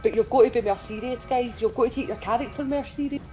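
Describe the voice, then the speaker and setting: A woman's voice: fairly high pitch, fast, mellow, soft background music. A woman in her twenties with a Scottish accent, chatting casually and bluntly as a stream co-host, swearing freely. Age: 20s. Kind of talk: casual chat during a gaming stream.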